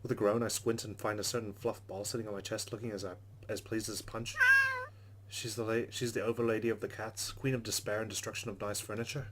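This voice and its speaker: A man's voice: fairly low pitch, little pitch movement, fairly quick, noisy background. A man hosting a let's play, reading game narration aloud dramatically and adding muttered, sweary asides. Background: game music.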